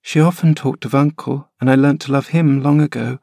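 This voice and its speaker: A man's voice: medium pitch, fairly even intonation, brisk, gravelly, dark tone, dry recording, clean studio sound, voice pushed up, lightly acted. A man reading aloud, voicing a fretful, sickly young boy who asks plaintive questions.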